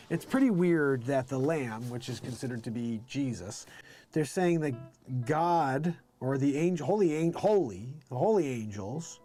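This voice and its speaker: A man's voice: medium pitch, a little mellow. A man hosting a live read-along, reading scripture aloud in an emphatic, declamatory way and adding casual informal commentary. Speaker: live show host.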